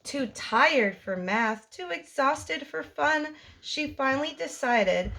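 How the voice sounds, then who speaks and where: A woman reading a picture book aloud to young children as a storytime host, in an expressive sing-song delivery. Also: sits high, slow pace, vocal fry, rough recording.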